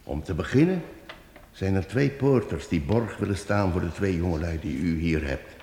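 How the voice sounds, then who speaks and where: A man voices a shrewd wandering minstrel in a radio play, laying out a plan in a measured, steady way. His voice sits very low. It sounds roomy, with a long reverb. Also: slight background noise. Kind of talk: radio drama acting.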